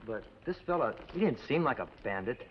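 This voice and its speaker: Man playing an earnest, fast-talking, lively young fellow. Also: mid-range voice, natural voice. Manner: plain-spoken, polite, earnest. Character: earnest, modest, polite young nephew, brave youth. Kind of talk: 1950s television western acting. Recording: muffled, noisy old TV western soundtrack.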